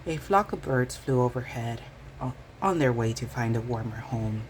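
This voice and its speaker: A woman reads a storybook for children. Her voice is unusually low for a woman and moves in animated swoops as she narrates. Faint noise can be heard behind her. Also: normal speed. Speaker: bedtime story reader. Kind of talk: expressive children's storybook reading.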